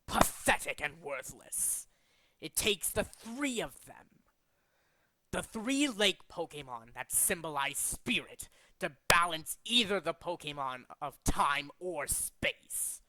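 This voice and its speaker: A man voicing a cold, megalomaniac villain boss in a dramatic, deliberate video game monologue. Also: a little high, animated, bright, forceful tone, no echo, heavily acted, poor audio. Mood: contemptuous, resolute. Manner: grandiose, deliberate, menacing. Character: cold, megalomaniac male villain boss bent on remaking the world. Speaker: amateur voice actor in demo reel.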